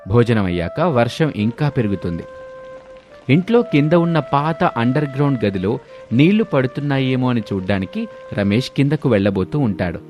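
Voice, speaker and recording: A man's voice: mid-range voice, animated, normal speed, a little mellow. A man narrating a scripted moral story as a storyteller. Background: music.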